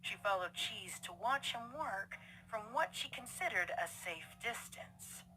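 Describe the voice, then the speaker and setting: A woman's voice: mid-range voice, lively intonation, unhurried, sharp tone, low-quality recording. A woman reading scripted story narration as the narrator. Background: music.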